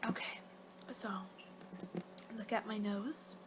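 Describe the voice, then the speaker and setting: A woman performing a soft-spoken, partly whispered ASMR roleplay as a casual vampire, rambling and halting as she goes. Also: mid-range voice, fairly even intonation, background noise. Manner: rambling, halting, losing her train of thought. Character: young-for-her-kind female vampire guiding a client, casual, a little exasperated.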